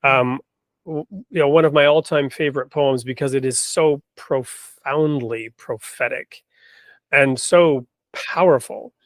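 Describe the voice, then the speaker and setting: A man hosting a discussion, speaking in a deliberate, reflective way with emphatic phrasing as he talks and recites. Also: mid-range voice, dry recording. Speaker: host. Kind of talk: conversational hosting with poetry reading.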